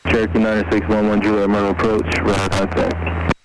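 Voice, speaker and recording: A man's voice: on the low side, completely flat delivery, brisk. A man working as an approach controller, giving clipped air traffic instructions over an aviation radio. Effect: aviation radio transmission. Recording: very noisy aviation radio with engine drone.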